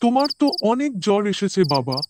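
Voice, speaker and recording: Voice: mid-range voice, big pitch swings, fast, heavy background noise, lightly acted. A performer voicing an obedient but careless young schoolboy son, simple and earnest, in an Islamic moral cartoon. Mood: worried, reluctant.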